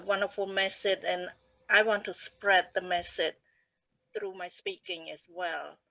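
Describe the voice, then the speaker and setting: A woman speaks slowly and earnestly, using simple phrasing. Her voice sounds bright and a little tense. It is recorded dry and close, with no room sound. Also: medium pitch, no room sound, rough recording. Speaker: guest speaker. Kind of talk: personal testimony.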